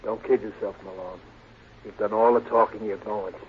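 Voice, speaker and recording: An American actor playing a cocky, brusque, defiant killer in a 1940s radio drama. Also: male voice, on the low side, steady tone, moderate pace, slightly rough. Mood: menacing. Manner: brusque, clipped, challenging. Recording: tinny, noisy 1940s radio recording.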